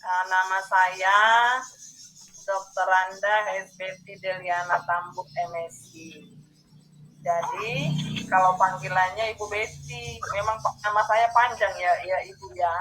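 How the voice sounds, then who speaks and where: Female online training facilitator, fifties, from North Sumatra, mid-pitched, friendly and addressing the group, video-call sound. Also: fairly even intonation, slow pace, fairly bright tone, soft background music, rough recording. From North Sumatra, Indonesia. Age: 50s. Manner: addresses listeners often, checking in.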